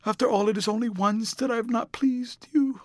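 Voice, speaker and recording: A man's voice: very high voice, steady tone, brisk, dry recording, studio quality, voice pushed up. A man voicing a pleading young actress. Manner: pleading, tearful. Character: young actress, heartbroken, desperately pleading woman.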